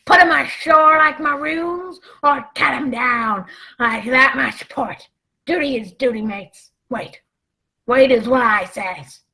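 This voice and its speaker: A woman playing a sly, commanding male pirate ringleader in a radio play, with folksy, drawn-out seafaring talk. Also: high-pitched, vocal fry, pushed and bright, heavily acted.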